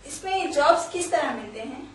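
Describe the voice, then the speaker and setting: A woman's voice: sits high, lively intonation, normal speed. A woman interviewer who asks short, direct questions and gives brief acknowledgements. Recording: echoey room, distant mic, faint noise.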